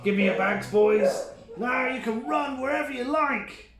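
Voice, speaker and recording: A man voicing a big tough billy goat father from a storybook, telling tales in a fatherly, measured way in an echoey room. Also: very high-pitched, a loud crowd, voice pushed up. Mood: jolly announcing. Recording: roomy, echoing sound.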